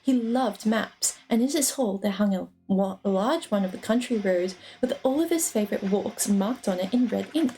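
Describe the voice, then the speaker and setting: A woman's voice: medium pitch, normal speed, few pauses, mellow, a little hiss or hum, rough recording. A woman streamer reading a storybook aloud as narrator. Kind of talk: storybook narration, read live. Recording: music under the voice.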